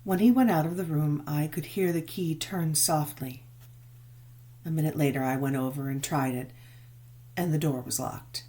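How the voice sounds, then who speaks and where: A woman reading a gothic novel as dramatic narration, measured and expressive, with drawn-out emphasis. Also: deep voice. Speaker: audiobook narrator.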